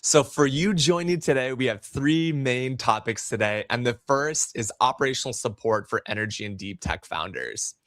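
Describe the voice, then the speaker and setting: Male livestream host, eager and effusive, mid-pitched voice, dry close recording. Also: expressive, moderate pace, few pauses, a bit bright, no echo. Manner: enthusiastic, effusive. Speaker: podcast host. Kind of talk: livestream interview hosting.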